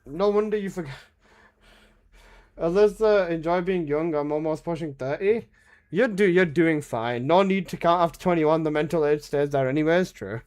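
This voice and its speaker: A man's voice: fairly high pitch, moderate pace, a little mellow. A man in his twenties streaming a game, chatting casually with his chat and bantering with lots of swearing and laughter. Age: 20s.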